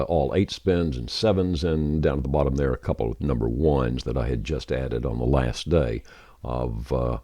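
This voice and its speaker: A man presenting a casual, folksy software tutorial as a voice-over on a close microphone. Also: rumbling low, no echo. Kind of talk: casual screen-share software walkthrough.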